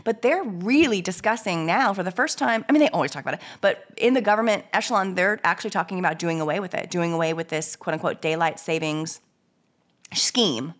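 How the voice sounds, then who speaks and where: A woman with a hoarse, congested voice from a cold, chatting casually as a podcast co-host and rambling through many restarts. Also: on the low side, a little fast. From United States. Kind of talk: casual chat.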